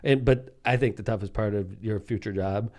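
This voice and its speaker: A man's voice: on the low side, normal speed, darkish tone. A middle-aged American man chatting informally as a podcast host. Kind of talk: casual chat.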